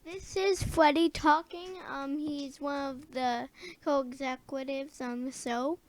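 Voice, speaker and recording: A female commentator giving casual reactions, halting and repeating phrases while thinking aloud. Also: high voice, fairly even intonation. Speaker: show commentator.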